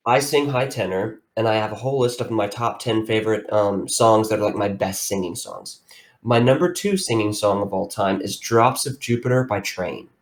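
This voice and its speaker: A young man co-hosting a casual podcast, telling stories in a rambling, animated way with many restarts. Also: lowish voice, moderate pace. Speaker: podcast co-host. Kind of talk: casual chat, storytelling.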